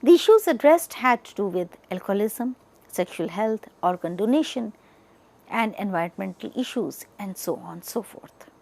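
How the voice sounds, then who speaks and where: A woman giving an educational lecture, partly reading from notes, speaking deliberately in short, emphatic chunks. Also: mid-range voice, animated, vocal fry, a little mellow.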